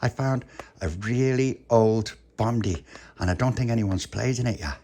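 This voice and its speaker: A man's voice: lowish voice, moderate pace, dark tone. A man voicing a chatty, earnest little schoolgirl in a children's story, rambling through her explanations. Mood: earnest, excited.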